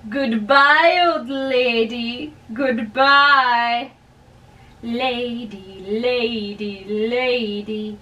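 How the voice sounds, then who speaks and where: A young woman giving a theatrical, deliberate dramatic reading of play dialogue. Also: fairly high pitch, bright, forceful tone, dull audio. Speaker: amateur reader of a play. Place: small bedroom, indoors. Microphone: camera a few metres away. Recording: distant camera in a bedroom.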